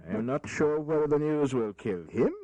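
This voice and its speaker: A man's voice: a little high, normal speed, mellow, dry recording, close to an everyday voice. A man playing a weary, bitterly ironic in a history audio drama, with a theatrical, wry manner. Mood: wry, resigned. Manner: theatrical, wry, deferential to the king.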